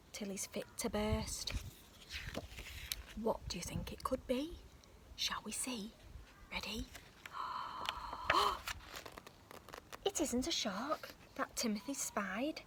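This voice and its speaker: A woman reading a children's picture book aloud outdoors in a park, in a soft, breathy storytelling voice with gasps and sudden shouts. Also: mid-range voice, background noise. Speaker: storybook reader.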